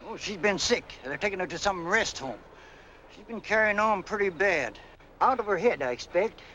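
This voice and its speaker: An actor playing a rough, folksy caretaker in a 1940s film, cagey and unhurried, with uneducated, ungrammatical talk. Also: male voice, sits high, expressive, sharp tone. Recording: noisy 1940s film soundtrack.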